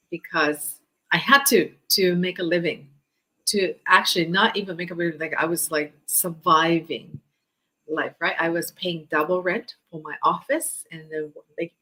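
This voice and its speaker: A woman's voice: low-pitched, a bit bright, low-quality recording. A woman in her fifties from Japan, with a Japanese accent, telling her personal story informally as an interview guest. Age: 50s.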